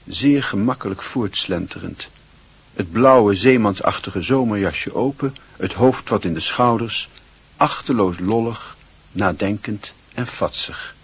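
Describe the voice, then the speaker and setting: A man narrating a literary radio drama in a husky, measured voice. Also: mid-range voice, exaggerated voice. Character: measured literary male storyteller.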